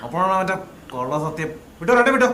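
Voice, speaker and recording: An actor playing a brusque, bossy police officer in a naturalistic film comedy. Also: male voice, sits high, big pitch swings, racing. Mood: scolding. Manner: bossy, gruffly informal. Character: brusque, scolding police station sub-inspector. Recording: film audio with loud crowd and traffic.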